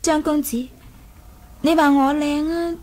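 A very high-pitched young princess in a radio play. She is proud and imperious, yet coquettish and teasing, with a wistful edge to her probing manner. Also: fairly even intonation, slow pace, a little mellow. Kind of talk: Cantonese 1980s radio drama acting.

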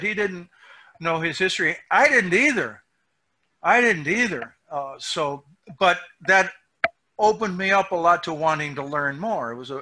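Older male interviewee on a headset call, bright tone, slightly high, reflective. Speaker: film subject, interviewee. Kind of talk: casual video call discussion. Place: small room at home. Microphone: headset on a video call.

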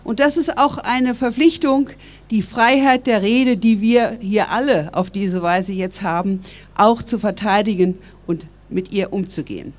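An older woman from Berlin giving a measured, earnest public speech outdoors into a stand microphone. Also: mid-range voice. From West Berlin, Germany. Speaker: public speaker. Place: outdoors by stone columns.

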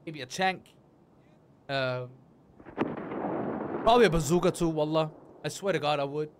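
A man's voice: fairly high pitch, moderate pace, background noise. A man, chatting casually with stream viewers in slangy, profane, repetitive bursts. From Denmark. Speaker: live game streamer. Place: indoor room, streaming setup. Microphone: close desk microphone, headset worn.

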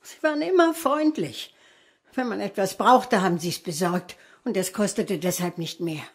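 An actress playing a kind, plain-spoken police station colleague in a crime radio drama. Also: female voice, medium pitch, moderate pace, slightly rough. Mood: saddened.

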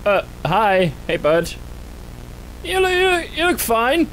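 A man's voice: big pitch swings, slow. A young man hosting a gaming video with casual reaction commentary. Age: young adult. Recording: clear rumbling game noise behind. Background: horror game rumble.

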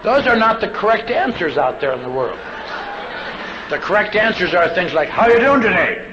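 A man's voice: high voice, big pitch swings, normal speed. An older man giving a plainspoken personal testimony as a speaker, telling anecdotes with wry asides. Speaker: speaker at a recovery convention. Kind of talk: personal testimony speech to an audience. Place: live convention talk. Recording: dull, lo-fi live recording, faint noise.

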